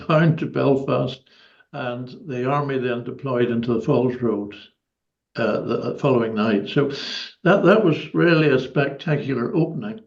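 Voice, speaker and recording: An elderly man with a Northern Irish accent recounting his personal history as a guest, in a measured, articulate way. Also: medium pitch, gravelly, darkish tone, muffled recording. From Northern Ireland. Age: 70s or older. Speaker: interview guest, former army officer.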